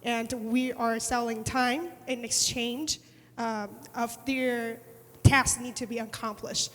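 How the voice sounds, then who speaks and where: A woman's voice: a little high, little pitch movement, takes it slowly. Emphatic woman panelist in a reverberant hall. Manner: emphatic, repeats words when pressing a point. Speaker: panelist attorney. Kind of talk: panel discussion. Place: large conference hall.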